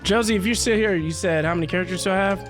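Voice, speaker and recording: A man's voice: high-pitched, racing. A man giving casual live commentary as he plays a game, muttering playful reactions. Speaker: game streamer. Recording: game music clearly behind the voice.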